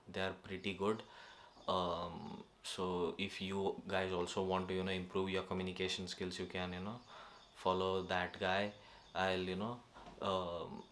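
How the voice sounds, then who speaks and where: A man's voice: sits low, fairly bright tone, a little hiss or hum. A young man with an Indian accent, a native Kannada speaker, talking casually to camera in a vlog, hesitant and full of fillers and restarts. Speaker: vlogger. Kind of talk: casual self-improvement vlog.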